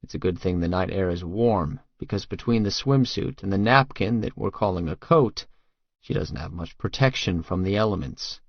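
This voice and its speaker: A man's voice: low-pitched, moderate pace, dry recording, lo-fi sound. A man narrating first-person fiction as a tough, crude, brooding hitman, terse and guarded. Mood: brooding, smitten. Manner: terse, hard-boiled, profane. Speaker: audiobook narrator.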